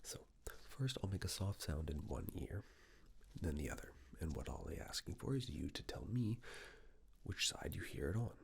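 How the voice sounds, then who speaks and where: A man speaking in a hushed ASMR medical roleplay style, giving gentle step-by-step instructions as a clinician. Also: sits low, very animated, normal speed, gravelly. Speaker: ASMR performer playing a clinician giving a cranial nerve exam. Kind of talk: ASMR medical roleplay, soft near-whisper.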